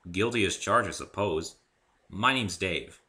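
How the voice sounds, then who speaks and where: Man as casual, bright-voiced story hero. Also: low voice, a little slow. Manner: casual, wary. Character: polite, slightly awkward human man, story's hero.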